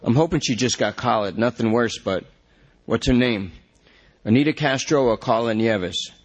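A man's voice: mid-range voice, moderate pace, close to an everyday voice. A man voicing a jittery, rambling male junkie under arrest, whiny and pleading.

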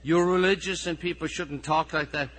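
A harried Irish schoolteacher played by a man reading his story aloud pushes his voice high and loud. He is exasperated and pleading, with a bright, forceful edge as he questions his pupils. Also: steady tone, normal speed. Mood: weary, sarcastic.

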